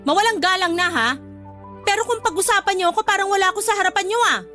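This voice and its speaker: An actress playing a blunt, confrontational thirty-year-old older sister in a Tagalog radio drama, with a sarcastic, scolding manner. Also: female voice, extremely high pitch, fast, sharp tone, faint music behind. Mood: irritated, scolding.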